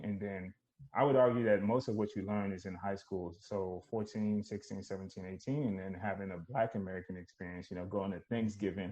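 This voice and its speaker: A man's voice: fairly low pitch, highs cut off. A man from Nigeria, a podcast guest, talking casually and reflectively with lots of fillers. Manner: relaxed, reflective storytelling with many fillers.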